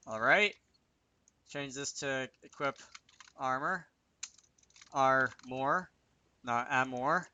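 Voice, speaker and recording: A man's voice: mid-range voice, extremely slow, long pauses, sharp tone, highs cut off, a little hiss or hum. A man giving a casual coding tutorial, talking to himself as he works, with wry little asides. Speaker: video creator demonstrating game programming.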